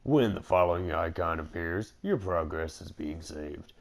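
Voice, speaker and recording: A man's voice: low-pitched, a little slow. A young man giving casual, jokey video game commentary with offhand, rambling asides. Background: game music.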